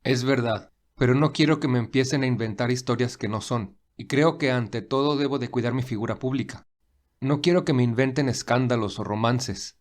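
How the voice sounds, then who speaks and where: A man playing a reserved young millionaire in an audio story, speaking in a measured, earnest, formal way. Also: medium pitch, close to an everyday voice. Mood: guarded. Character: William, reserved young millionaire, weary and principled.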